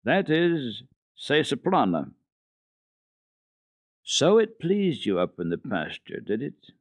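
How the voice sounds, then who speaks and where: A man playing a wise, gruff old mountain grandfather who explains things in a measured, firm way, from an old radio recording. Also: medium pitch, huge pitch swings, dry recording, voice pushed up, exaggerated voice. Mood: gentle explaining.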